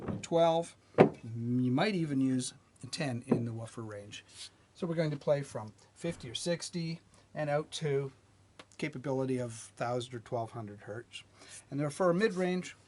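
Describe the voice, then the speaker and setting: Man explaining loudspeakers at a whiteboard. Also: mid-range voice, heavy background noise. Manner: plain, measured teaching delivery with light restarts. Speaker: R&D manager presenting a technical lesson.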